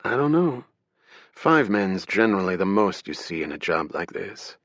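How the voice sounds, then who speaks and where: A man's voice: deep voice, very animated, normal speed, fairly bright tone, no room sound, full character voice. A man voicing a sardonic male federal agent who jokes while talking shop. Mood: businesslike. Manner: sardonic, joking. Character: wisecracking male federal agent, buzz cut.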